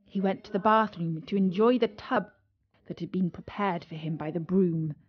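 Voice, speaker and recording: A woman's voice: lowish voice, moderate pace, husky, lo-fi sound. A woman narrating a scripted story as a storyteller.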